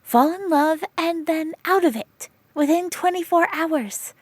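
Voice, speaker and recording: A woman playing a protective young female friend in an audio roleplay, scolding in exasperation and then whispering soft reassurance. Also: very high voice, measured pace, no room sound. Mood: worried, exasperated. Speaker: comforting friend.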